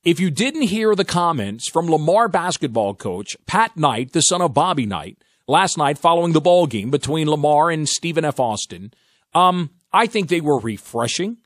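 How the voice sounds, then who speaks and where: A man hosting a radio talk show in a studio, animated and folksy, often tripping over and repeating his words. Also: on the high side, moderate pace, dry recording. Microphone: studio microphone.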